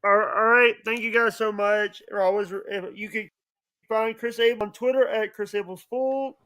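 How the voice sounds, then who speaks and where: A man with a notably high voice talks casually as a podcast guest. He is halting and repetitive, often breaking in and restarting his phrases. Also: fairly even intonation, bright, forceful tone. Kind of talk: casual livestream chat.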